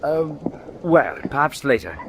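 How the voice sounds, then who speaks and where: An actor playing a mild, loyal expedition doctor with an English accent and a polite, hesitant, conciliatory manner. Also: male voice, on the high side, big pitch swings, measured pace, gravelly, fairly bright tone, a little hiss or hum, heavily acted. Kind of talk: period audio drama acting.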